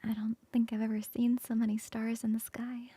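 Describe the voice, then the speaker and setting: A woman voicing a flirty female coworker in an ASMR roleplay, close to the mic in a soft, playful whisper. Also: fairly high pitch, flat delivery, normal speed, breathy edge, dry recording. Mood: teasing whisper.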